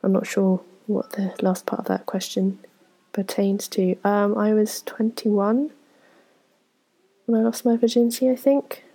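A woman, answering viewer questions in a frank, self-deprecating, rambling way, full of ums and soft whispered asides. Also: medium pitch, little pitch movement, measured pace, husky, rough recording. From England. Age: 20s. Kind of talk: casual Q&A chat to camera.

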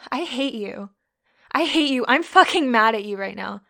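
A woman's voice: fairly high pitch, fairly even intonation, measured pace, vocal fry. A young woman playing a scornful, bullying college woman who taunts and swears constantly. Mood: furious. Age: young adult. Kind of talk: audio roleplay.

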